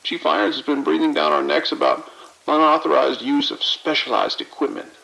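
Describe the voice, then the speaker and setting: A man's voice: a little high, little pitch movement, brisk, creaky, bright, forceful tone, heavily acted, low-quality recording. A man playing a weary, overwhelmed but friendly police officer in an audio drama, talking informally with exasperation. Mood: apologetic, frustrated. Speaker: police officer at operations desk. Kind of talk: audio drama acting, phone call.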